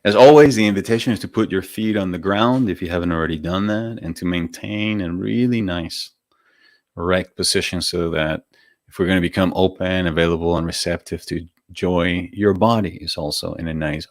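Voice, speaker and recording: A man's voice: low-pitched, dry recording, clean studio sound. A man with a Venezuelan accent, hosting a live stream and chatting informally and encouragingly before a guided meditation. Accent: Venezuelan Spanish. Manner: informal, gently encouraging, chatty asides to viewers. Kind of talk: casual spiritual talk before a guided meditation.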